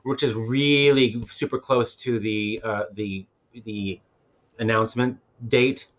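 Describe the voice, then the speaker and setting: A man's voice: medium pitch, steady tone, slow pace, fairly bright tone, highs cut off. A man speaking casually as a filmmaker and festival organizer on a panel, rambling and often repeating words as he goes.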